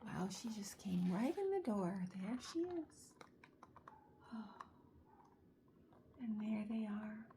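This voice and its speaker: A woman reading a children's picture book aloud, expressively, with drawn-out words and chatty asides and questions to young listeners. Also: mid-range voice, sing-song, dark tone, a little hiss or hum.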